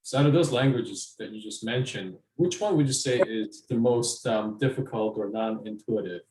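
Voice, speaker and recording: A man's voice: on the low side, moderate pace, a little mellow, distant mic, slight background noise. Curious male podcast host in echoey room. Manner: polite, curious questioner. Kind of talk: interviewer asking questions. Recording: roomy echo.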